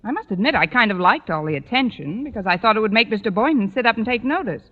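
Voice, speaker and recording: An actress playing a wry high school teacher in a 1940s radio comedy, delivering dry, sarcastic wisecracks. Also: female voice, animated, quick pace. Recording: noisy, muffled vintage radio recording.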